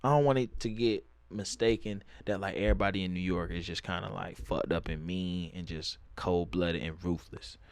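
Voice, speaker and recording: A young man with an Atlanta Southern accent rambles through a casual story, swearing freely and laughing often. His voice sits low. The sound is dry and close, with no room around it. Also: fairly even intonation, normal speed, hardly any pauses, clean tone, dry recording. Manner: loose, profane, laughs often, drawls words out. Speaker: vlogger.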